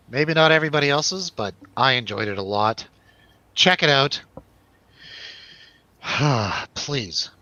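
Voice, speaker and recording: A man with a bright, forceful voice hosts a casual movie review. He talks in a joking, irreverent way at a middle pitch. The sound is close and dry, slightly lo-fi. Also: no echo. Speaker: host of a movie review show.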